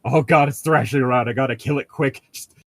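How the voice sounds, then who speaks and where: A man's voice: medium pitch, fairly quick, dry recording. A man in casual conversation as a podcast co-host, swearing freely and joking crudely. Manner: crude joking, profane, laughs often. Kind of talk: casual chat.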